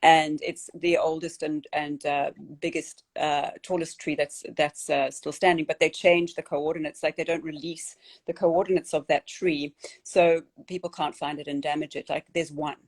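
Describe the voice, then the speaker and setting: A young woman from South Africa with a South African accent, hosting a casual interview chat. Her voice sits low for a woman, and she speaks earnestly, often stopping and restarting with fillers. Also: level tone, moderate pace. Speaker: host.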